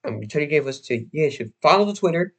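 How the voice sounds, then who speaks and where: A young man hosting a casual livestream, slightly hoarse and losing his voice, talking in a rambling, halting way full of restarts and fillers. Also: a little high, animated, fairly bright tone. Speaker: livestream host.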